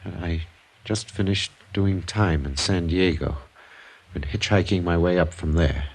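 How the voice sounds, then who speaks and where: An American actor playing a weary, bitter, soft-mannered ex-con drifter near sixty in a 1950s radio crime drama. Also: male voice, sits low, a little slow, breathy edge, full character voice. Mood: weary recounting.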